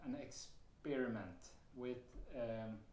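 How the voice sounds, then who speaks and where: A man with a Swedish accent speaks slowly and haltingly, stopping often on fillers and restarting his sentences. His voice sits at an ordinary middle pitch, and the room around him adds a noticeable echo. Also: slight background noise. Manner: hesitant, many fillers and restarts, occasional soft laughs. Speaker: vlogger sharing personal experience. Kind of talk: casual personal testimony to camera. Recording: roomy echo.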